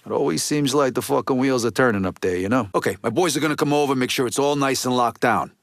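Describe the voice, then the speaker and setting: An actor playing a gruff, resentful mob lieutenant in a video game, with an American accent and a blunt, streetwise, foul-mouthed way of talking. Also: male voice, medium pitch, fast, no echo, studio quality, close to an everyday voice. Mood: businesslike. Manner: blunt, streetwise, heavy swearing.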